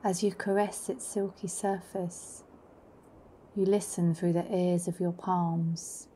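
A woman narrating a poetic, meditative guided journey in a hushed, solemn, unhurried manner. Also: on the low side, level tone, a little hiss or hum.

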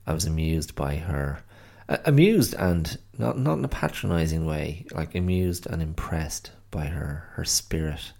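Irish guy rambling on his podcast, gravelly and husky, bit stuffed up and worn out. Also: a bass voice, animated, a little slow, hardly any pauses, darkish tone. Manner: rambling, self-correcting, chatty. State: stuffy nose from hay fever; tired. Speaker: podcast host. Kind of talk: casual solo podcast chat.

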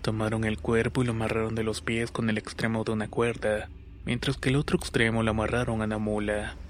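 Male narrator, scary-story reading. Slightly low, very even delivery, faint noise behind. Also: fairly quick. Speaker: narrator of listener-submitted horror stories.

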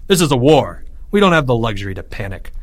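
A stern boy commander, voiced by a man reading a storybook, speaks at a middle pitch. He is determined and urgent, talking to his friends in military style. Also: big pitch swings, fast, no room sound.

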